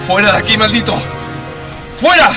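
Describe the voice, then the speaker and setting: High-pitched, distraught young fiancé. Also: male voice, lively intonation, moderate pace, loud music behind the voice. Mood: furious. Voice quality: strained, crying out. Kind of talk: melodramatic radio drama acting.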